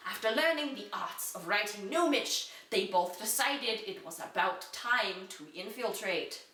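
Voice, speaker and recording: A woman giving a deliberate, dramatic reading of an amateur children's fantasy story, like a storyteller. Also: medium pitch, animated, bright, forceful tone, recorded from a distance. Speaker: reader. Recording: echoey room, away from the mic.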